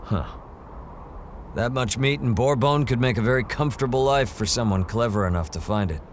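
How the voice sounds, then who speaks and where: An actor playing a hard-bitten lone monster hunter in a science fiction audio drama, speaking in terse, dramatic lines. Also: male voice, medium pitch, normal speed, slight background noise, lightly acted. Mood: cool bargaining. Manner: terse, self-narrating, dramatic.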